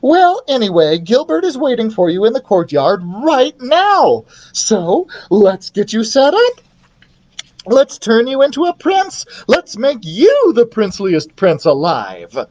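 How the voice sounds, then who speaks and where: A woman voicing a doting, excitable storybook queen who gushes in drawn-out exclamations. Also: on the low side, muffled recording, voice pushed up, heavily acted. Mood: thrilled. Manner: gushing, drawn-out exclamations, talks over others. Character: excitable, gushing, doting storybook queen mother, hard of hearing.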